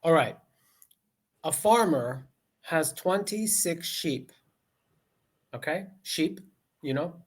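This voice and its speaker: A man's voice: on the high side, animated, slow, long pauses. A man from the United States, talking as an online English tutor on a video call, patient and often repeating "okay" as he rephrases his questions. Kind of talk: casual conversation lesson over video call.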